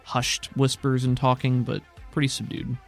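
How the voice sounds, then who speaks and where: A man improvising scene descriptions as a game master, measured and pausing between phrases. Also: mid-range voice, little pitch movement, soft background music. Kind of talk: improvised tabletop game narration.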